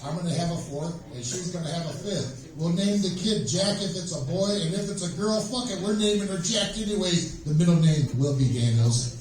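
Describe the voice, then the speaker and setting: A man doing an amateur stand-up set on stage, telling jokes in a halting, rambling way. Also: high-pitched. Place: small live comedy venue, stage. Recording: echoey room, distant microphone.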